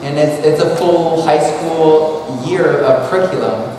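A man in his thirties talks loosely and rambles, restarting sentences and dropping "like" everywhere, his voice sitting a little high. He is caught from far off in a big hall, with a long reverb trailing each phrase and faint background noise. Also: a little slow, crowd noise. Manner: rambling, casual, full of "like" and restarts. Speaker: speaker. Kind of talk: conference talk. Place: large hall at an expo. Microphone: handheld mic. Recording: echoey hall recorded from far off.

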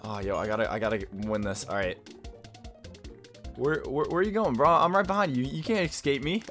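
A young man chatting casually through a racing game, halting and often repeating his phrases. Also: mid-range voice, animated, a bit bright, faint music behind. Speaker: gaming video host. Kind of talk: casual banter while playing a kart racing game.

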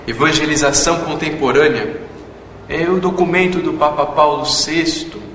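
A man plays an earnest, deferential Brazilian priest in a radio drama. His voice is slightly high and rings in an echoey space with some noise. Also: fairly even intonation, normal speed, a bit bright, close to an everyday voice. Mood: respectful, earnest. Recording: echoey hall with background noise.

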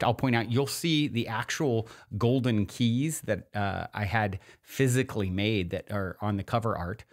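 A male podcast host with a fairly low voice. He talks informally and at length, rambling along with enthusiasm, as if chatting to regular listeners. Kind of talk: casual podcast talk.

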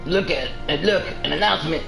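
A man's voice: fairly high pitch, a little fast, gravelly. Roomy-sounding man chatting on a game stream. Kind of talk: casual live-stream chat while gaming. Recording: roomy room sound, game music behind. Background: video game music.

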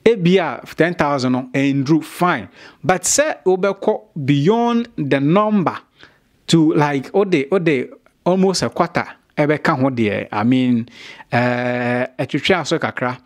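A man's voice: on the high side, animated, slow pace. A man in his thirties with a Ghanaian accent, hosting a showbiz news video and talking straight to camera from a small studio, switching between Twi and English. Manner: mixes Twi and English, talks straight to viewers. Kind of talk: direct-to-camera commentary. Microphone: studio mic on stand.

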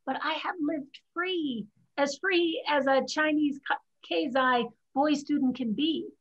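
High, muffled woman reading as a headstrong young student. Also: unhurried, a bit bright. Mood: resolute. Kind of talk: staged play reading. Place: home room on a group video call. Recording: dull, muffled video-call audio.

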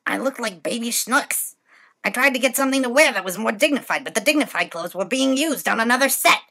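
A woman voicing a brash, defiant older woman with a gravelly voice, a dying talent agent in an audiobook. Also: a little high, expressive, fairly quick, sharp tone, pitched above the performer's own voice, full character voice. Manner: defiant, rattling on about deals. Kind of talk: audiobook narration.

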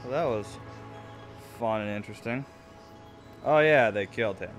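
A man's voice: medium pitch, moderate pace. A man giving casual, wry, joking commentary as he plays a video game. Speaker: gamer, host. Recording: game music behind the voice. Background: video game music.

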